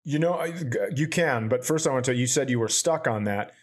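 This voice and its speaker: A man's voice: mid-range voice, fast. A man, a comedian hosting a podcast, telling profane and exaggerated stories in casual chat.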